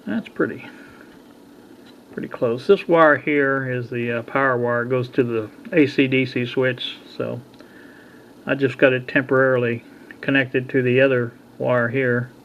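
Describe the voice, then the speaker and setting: A middle-aged or older man casually talking through a hands-on repair at a workbench, in a halting, folksy, unhurried way. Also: mid-range voice, steady tone. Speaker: hobbyist radio repair vlogger. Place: indoor workbench. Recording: dull lo-fi sound with background noise.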